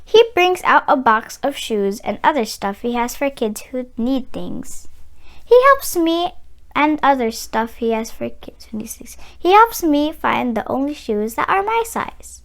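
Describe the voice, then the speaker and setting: High, lively woman reading a children's story. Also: measured pace, gravelly. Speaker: children's read-aloud channel host. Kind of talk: slow, expressive picture-book read-aloud for children.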